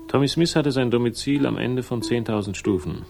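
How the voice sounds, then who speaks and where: An actor playing a laconic, hard-boiled investigator in a German radio crime drama, narrating and talking with deadpan irony. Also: male voice, medium pitch, creaky, over music, lightly acted. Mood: brooding. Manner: deadpan, ironic, unhurried.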